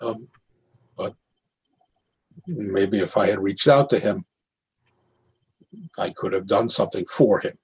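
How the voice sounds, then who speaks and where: An older playwright and former teacher reflecting on his work in a halting manner, with long pauses and a rough voice. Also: fairly low pitch, darkish tone, slight background noise, poor audio. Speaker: playwright and retired educator. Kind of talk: reflective discussion of own work.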